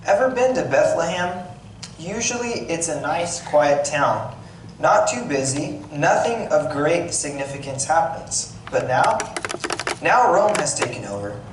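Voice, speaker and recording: A man's voice: on the high side, lively intonation, measured pace. A man reading aloud as a plain-spoken, wry, slightly grumbling village potter, in a conversational storytelling way with occasional stumbles. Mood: matter-of-fact. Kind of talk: dramatic monologue read aloud. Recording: echoey room, distant mic, noisy background.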